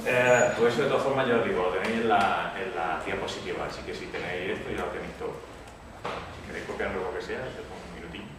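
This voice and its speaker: A man from Córdoba with a slight Andalusian accent, giving a casual programming talk to a meetup audience and checking in with them often. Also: fairly low pitch. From Córdoba, Spain. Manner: relaxed, conversational, frequent "vale?" check-ins. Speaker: tech meetup speaker. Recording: echoey room, distant mic, noisy.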